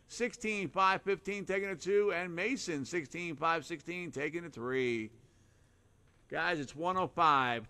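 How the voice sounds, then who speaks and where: A man calling a live RC car race with a hoarse, fading voice, rattling off names and lap times in short bursts. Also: on the high side, sharp tone. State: hoarse, losing his voice. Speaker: race announcer.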